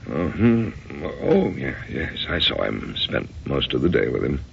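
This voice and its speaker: An actor playing a bewildered, conventional middle-aged American husband in a radio drama, with a gravelly, hesitant delivery. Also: male voice, a bass voice, big pitch swings, slight background noise, heavily acted. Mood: uneasy. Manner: hesitant, halting. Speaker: actor and first-person narrator. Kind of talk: old-time radio drama acting. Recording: dull, muffled radio drama recording.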